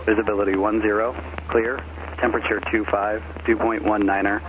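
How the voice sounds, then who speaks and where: A man's voice: on the low side, little pitch movement, a little fast. A man reading a clipped, formulaic airport information broadcast over aviation radio. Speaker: airport weather information announcer. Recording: dull, noisy aircraft radio reception.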